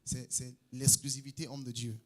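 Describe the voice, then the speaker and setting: Cameroonian pastor preaching in short bursts. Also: male voice, mid-range voice, flat delivery. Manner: preaching in short phrases, pausing for translation, loud bursts of praise. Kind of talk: sermon delivered through an interpreter. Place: church hall.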